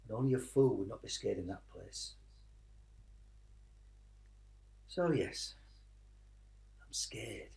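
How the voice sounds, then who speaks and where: An actor playing a weary, tender British father who fought in the trenches, speaking reflectively in a radio play with dramatic pauses. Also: medium pitch, a little mellow. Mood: grave, reassuring.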